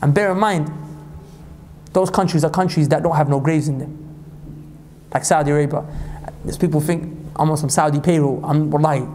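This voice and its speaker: A man from Pakistan delivering an impassioned sermon as a preacher, reciting scripture quickly and climbing to high emphatic peaks. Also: steady tone, a little mellow, slight background noise. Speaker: Islamic preacher.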